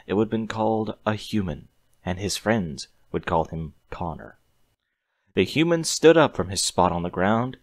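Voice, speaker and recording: A man with a fairly low voice narrates a written story in audiobook fashion. His pitch moves in lively, animated swings as he tells it. The sound is dry, with very little room to it. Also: dry recording. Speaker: narrator reading fan fiction aloud. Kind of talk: scripted audiobook-style narration.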